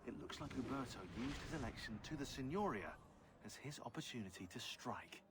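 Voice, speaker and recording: An actor playing a wry modern researcher who delivers a conversational, sardonic briefing in a video game. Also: male voice, medium pitch, expressive, a little fast, noisy background, close to an everyday voice. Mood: wry, informative. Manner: sardonic, informal. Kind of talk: conversational scripted narration in a game.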